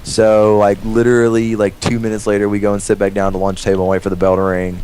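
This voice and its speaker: A young man with a fairly low voice tells a casual, rambling story. He swears freely and voices both sides of conversations, with faint noise behind him on a home gaming voice chat. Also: fast talker. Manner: rambling, profane, animated retelling with quoted dialogue. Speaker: gamer telling a story. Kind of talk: casual storytelling over gameplay.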